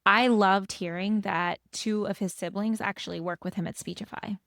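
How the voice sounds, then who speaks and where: A woman co-hosting a podcast, speaking in a measured, agreeable way. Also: mid-range voice, fairly even intonation, gravelly, fairly bright tone, dry recording, studio quality. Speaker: podcast co-host. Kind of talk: casual podcast chat.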